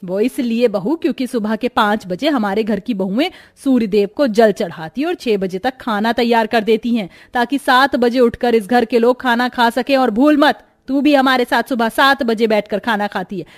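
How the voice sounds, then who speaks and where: A woman's voice: fairly high pitch, moderate pace, hardly any pauses, close to an everyday voice. Cartoon matriarch female actor, older, stern and reproachful, delivers lines as scolding lectures. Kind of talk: Hindi cartoon story dialogue.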